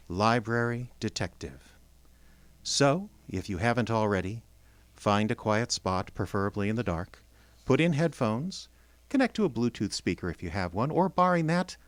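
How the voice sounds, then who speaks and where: A man hosting a radio theater show, announcing from a script with theatrical, deliberate phrasing. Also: fairly low pitch, no room sound. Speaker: announcer and host.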